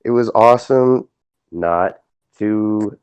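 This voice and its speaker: A man with a low, smooth voice gives casual interview answers in an earnest, hesitant manner. The sound is close and dry but slightly dull, like an online voice call. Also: slow, a little mellow, no echo. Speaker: voice actor, interview guest.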